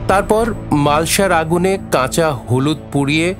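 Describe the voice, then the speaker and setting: A man narrating a scripted audio drama story in a measured, literary reading style. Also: a little high, over music.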